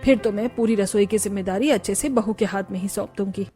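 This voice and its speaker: Woman as a worried, measured cartoon mother-in-law. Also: mid-range voice, mellow, soft background music, voice pushed down, close to an everyday voice. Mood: resigned, hopeful. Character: worried, strict middle-aged mother-in-law. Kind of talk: Hindi family cartoon voice acting.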